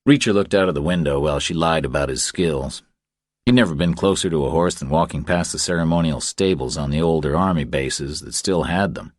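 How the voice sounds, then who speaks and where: A man's voice: a bass voice, brisk, no echo, studio quality. A man reading scripted thriller fiction as an audiobook narrator.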